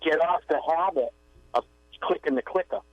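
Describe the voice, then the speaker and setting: An easygoing man chats on a radio show and mostly gives short, agreeable replies. His voice sounds dull and lo-fi, with the highs cut away. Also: moderate pace, no room sound. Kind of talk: casual chat.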